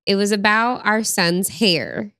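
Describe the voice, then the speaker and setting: A woman's voice: medium pitch, slow pace, a bit bright, clean tone, dry recording, studio quality. A woman in her thirties co-hosting a podcast, talking in an upbeat, chatty way and laughing easily. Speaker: podcast co-host. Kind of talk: casual chat.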